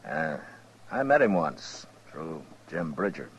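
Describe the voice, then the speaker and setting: A man playing a watchful, stern American frontier marshal in a 1950s radio drama, measured and laconic. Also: very deep voice, big pitch swings, exaggerated voice. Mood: wry, guarded. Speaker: lead actor and narrator of radio western. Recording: noisy old radio recording.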